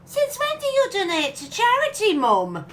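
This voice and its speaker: A woman's voice: extremely high pitch, wildly swooping pitch, normal speed, fairly bright tone, full character voice. A woman playing an exasperated, suspicious grown child challenging a parent in an audio comedy, with a dramatic manner. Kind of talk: family comedy audio play acting.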